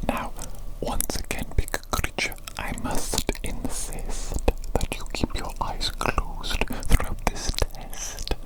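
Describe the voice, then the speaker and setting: A woman playing an eccentric, busy scientist doctor in a whispered ASMR medical roleplay, speaking deliberately and repetitively with formal wording. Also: natural voice, low-quality recording.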